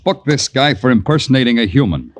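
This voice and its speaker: An actor playing a wry, weary hard-boiled American police detective who narrates a vintage radio crime drama in a deadpan, sardonic way. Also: male voice, medium pitch, a little fast, background noise, lightly acted. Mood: dry, sardonic. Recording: vintage radio recording, music underneath.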